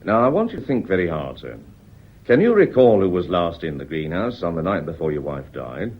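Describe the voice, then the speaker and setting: Casting: probing, courteous British police inspector for radio drama, widely swinging intonation, deliberate phrasing, on a muffled recording. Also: male voice, low-pitched, sing-song, husky, full character voice. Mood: insistent, courteous. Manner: deliberate, formal, politely insistent questioning. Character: probing, measured, courteous male Scotland Yard police inspector. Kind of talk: British radio mystery play acting.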